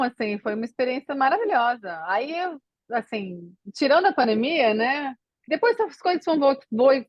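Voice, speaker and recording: A woman's voice: a little high, no echo, highs cut off. A woman from Brazil, a podcast guest, chatting casually and rambling with many fillers and restarts. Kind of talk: casual interview chat.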